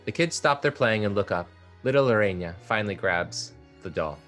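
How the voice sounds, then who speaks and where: A man calmly reading stage directions aloud at a play table read. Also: on the low side, moderate pace, soft background music. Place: art exhibition theater area.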